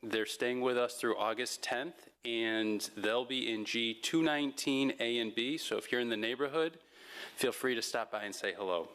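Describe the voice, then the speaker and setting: Man, medium pitch, event host, informal announcements, addressing a gathering. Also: normal speed, fairly bright tone, smooth. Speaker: event host introducing speakers.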